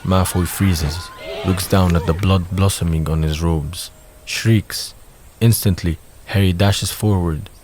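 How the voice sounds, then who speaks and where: A man's voice: sits low, fairly even intonation, slow pace, a little mellow, a little hiss or hum. A man reading scripted narration for an audio drama.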